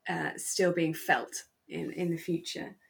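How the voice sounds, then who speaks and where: British woman chatting, slightly low voice. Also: little pitch movement, measured pace, creaky. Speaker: podcast co-host. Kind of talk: relaxed fan chat about a radio drama.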